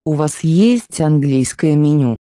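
A speaker slowly repeating short phrases for a language lesson, with careful, deliberate pronunciation. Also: mid-range voice, expressive, mellow, no echo, clean studio sound. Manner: slow, deliberate enunciation. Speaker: Russian phrase reader. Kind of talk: slow scripted phrase reading.

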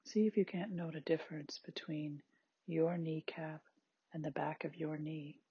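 A meditation guide with a husky, breathy, androgynous voice, giving gentle, unhurried body scan instructions in short spaced phrases. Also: mid-range voice, fairly even intonation, no echo, highs cut off. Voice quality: very husky, breathy, at times near-whispered.

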